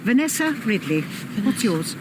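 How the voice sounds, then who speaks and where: An actress playing a sharp, impatient, sarcastic older woman customer in an audio drama, tart and demanding. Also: mid-range voice, very animated, normal speed, lightly acted. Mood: brisk, sarcastic. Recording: noisy outdoor cafe ambience with traffic. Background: cafe and street ambience.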